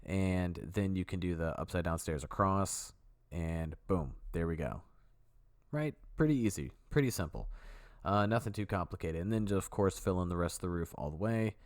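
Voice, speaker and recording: A man with a very low voice talks through a building tutorial as a relaxed voice-over. He is sick and a bit sniffly, and he rambles easily and corrects himself along the way. The sound is dry and close, with no room around it. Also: moderate pace, no echo. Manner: easygoing, rambling, self-correcting. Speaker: video tutorial host. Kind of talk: casual voice-over walkthrough.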